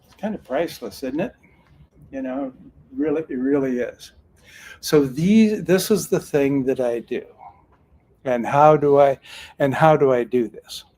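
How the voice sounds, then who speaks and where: A man's voice: medium pitch, measured pace, pauses often, mellow. Older man, retired lawman turned card reader: earnest, folksy storyteller, mid-range voice. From Northern California. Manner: earnest, folksy, with frequent restarts. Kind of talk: casual storytelling talk.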